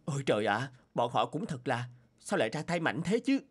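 A man voicing the male characters in a dubbed cartoon folk tale, with animated, emotional delivery. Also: mid-range voice, a little fast, husky. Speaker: voice actor doing all male parts. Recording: music bed under voice.

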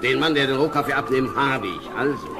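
An actor playing a sly, genial tavern keeper with a coaxing manner in an audio drama. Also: male voice, mid-range voice, brisk, music in the background, lightly acted. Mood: conspiratorial, coaxing. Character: sly, genial male tavern keeper plotting shady deals. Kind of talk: German children's audio drama acting.

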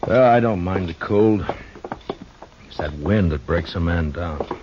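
Weary, gentle American lawman for radio western, very low and unhurried, dull vintage recording. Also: background noise. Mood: gruff, friendly. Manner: halting, understated. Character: weary, gentle, gruff frontier US marshal. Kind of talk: 1950s radio drama acting.

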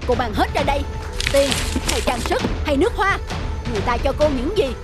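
Cartoon husband: gruff, jealous, emotional, speaking emphatically over music. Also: male voice, very high-pitched, sing-song, fairly quick. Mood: jealous, accusing. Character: jealous gruff husband, suspicious, later remorseful. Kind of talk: Vietnamese cartoon dubbing.

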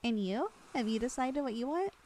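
Young woman, slightly higher voice, ASMR girlfriend roleplay; soft, affectionate, lightly teasing. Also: expressive, fairly quick. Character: loving long-distance girlfriend, young woman, teasing and tender.

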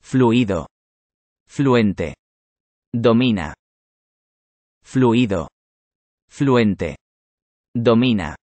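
Guy reading vocab super slow, each word twice, dry close sound. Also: mid-range voice, expressive, darkish tone, dry recording. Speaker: Spanish vocabulary reader.

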